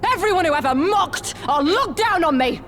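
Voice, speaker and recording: A woman's voice: extremely high pitch, expressive, normal speed, fairly bright tone, slight background noise. A woman acting a bitter, vengeful young soldier in a video game drama, speaking with raw earnestness over music. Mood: furious, vengeful. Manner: intense, earnest, deferential to her lord.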